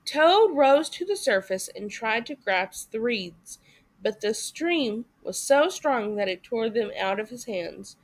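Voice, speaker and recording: Slow, expressive woman storyteller. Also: fairly high pitch, rough recording. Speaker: story reader. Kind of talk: expressive read-aloud narration for children.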